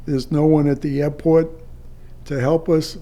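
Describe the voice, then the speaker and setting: An older man, a retired air traffic controller, telling a personal story off the cuff in a halting way with drawn-out pauses. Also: on the high side, flat delivery, mellow.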